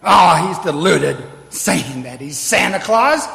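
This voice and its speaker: A man as high-voiced, nervous, officious examiner. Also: lively intonation, takes it slowly, creaky, bright, forceful tone, heavily acted. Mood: pompous, accusing. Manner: fussy, pompous, easily flustered. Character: nervous, officious, irritable male store examiner. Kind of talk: old-time radio play acting. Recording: hall stage recording with reverb.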